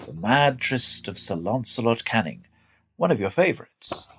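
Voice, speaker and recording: An actor playing an anxious, reasonable gentleman in a radio drama, speaking in an earnest, formal, theatrical way. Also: mid-range voice, big pitch swings, normal speed, slight background noise, low-quality recording. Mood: soothing concern. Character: anxious, reasonable gentleman soothing his frightened friend.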